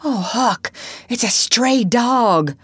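A woman voicing a superstitious, excitable young country boy, bossy but easily scared. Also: lowish voice, slow pace, no room sound. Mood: frightened. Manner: breathy urgent exclamations and hushed whispering.

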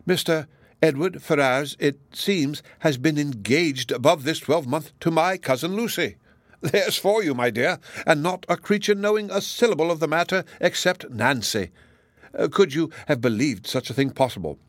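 A man's voice: on the high side, lively intonation, moderate pace, no echo, pitched above the performer's own voice, close to an everyday voice. A man voicing a chatty, good-hearted older matron in an audiobook, gossiping in a rambling, exclamatory and indignant way. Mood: astonished, gossipy. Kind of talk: audiobook character dialogue.

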